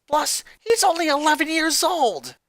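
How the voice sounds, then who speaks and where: A woman's voice: high-pitched, sing-song, measured pace, dry recording, clean studio sound, pitched above the performer's own voice. A woman voicing an angry, worried teenage girl in a story reading.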